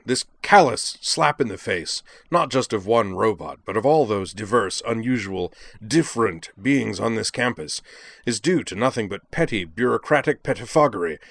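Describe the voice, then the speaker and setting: A young alien student in full oratorical flight, as a male narrator plays him, pitched up and forceful. The delivery is formal, wordy and theatrical, with pompous indignation suited to a dramatic storybook reading. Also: gravelly, no echo, heavily acted. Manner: pompous, verbose, declamatory.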